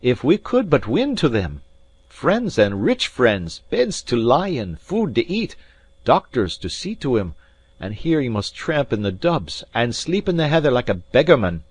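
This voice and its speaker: Storybook Highland rogue male narrator in character, Scottish, fierce and grumbling, emphatic delivery with big swoops of pitch. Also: sing-song, measured pace, breathy edge, no room sound. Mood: emphatic, pleading. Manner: blustering, emphatic, indignant. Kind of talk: dramatised audiobook reading of a classic adventure novel.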